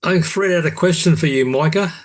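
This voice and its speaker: A man's voice: on the high side, fast talker. A man hosting an online meeting, moderating casually in a polite, understated way. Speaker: meeting host. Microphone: video call.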